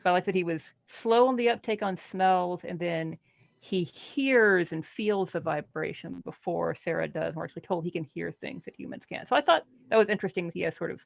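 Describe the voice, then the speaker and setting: Female panelist, creaky voice, close dry sound, analytical casual book talk with deadpan humor. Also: on the low side, no room sound. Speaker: podcast panelist. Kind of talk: casual book club discussion.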